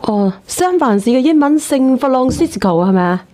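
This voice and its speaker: A woman's voice: high-pitched, animated, moderate pace, dark tone. A woman, hosting a casual call-in talk show. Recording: clear noise and clicks behind.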